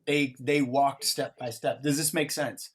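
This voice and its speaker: A man's voice: medium pitch, fairly even intonation, a little slow, a little hiss or hum. A man facilitating an interactive improv exercise over a video call, explaining it step by step in an encouraging way. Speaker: workshop facilitator. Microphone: video call microphone.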